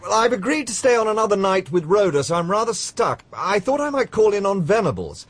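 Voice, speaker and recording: An actor playing an earnest gentleman investigator in a radio drama, slightly husky. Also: high voice, sing-song, brisk, heavily acted. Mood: concerned, chatty.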